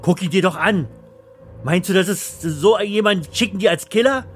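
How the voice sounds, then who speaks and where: A man playing a rough, threatening male bodyguard at a circus in an audio thriller, coarse and menacing. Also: sits high, moderate pace, faint music behind, close to an everyday voice. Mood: scornful. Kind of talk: radio thriller acting.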